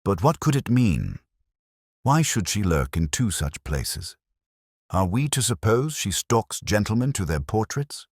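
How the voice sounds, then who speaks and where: A man's voice: lowish voice, big pitch swings, unhurried, darkish tone, no echo, clean studio sound, pitched above the performer's own voice, exaggerated voice. A man voicing a sceptical, uneasy police inspector in an audiobook, formal, cautious and matter-of-fact. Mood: puzzled, sceptical. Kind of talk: audiobook detective story dialogue.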